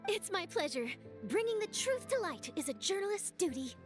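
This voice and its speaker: An eager young reporter in a fantasy game, with a very high, bouncy voice that leaps around in pitch. She sounds bubbly and excitable, and faint music hums behind her. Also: moderate pace. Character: eager, chirpy young female journalist. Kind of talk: game cutscene voice acting.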